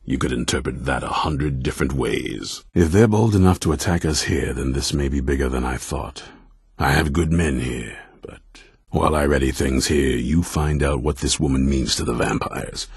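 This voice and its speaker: An older man playing a grim, ruthless vampire hunter commander in a video game, stern and blunt, with a very deep, gravelly, raspy voice. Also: normal speed, dry recording, studio quality, exaggerated voice. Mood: grim, bitter. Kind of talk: video game character dialogue.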